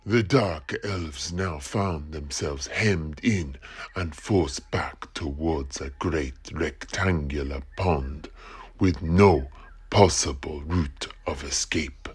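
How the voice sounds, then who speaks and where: A man playing an explorer in an audio drama, grimly recounting a battle in a husky, gravelly voice with deliberate, drawn-out phrasing. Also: a bass voice, exaggerated voice. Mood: grave, dramatic. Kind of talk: dramatic audio play narration.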